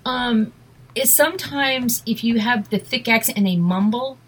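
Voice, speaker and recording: Brief: woman, Massachusetts accent, casual storyteller, lively quoted asides. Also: a little high, a little slow, a crowd in the background, poor audio. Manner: animated, storytelling, acts out quotes. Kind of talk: casual chat.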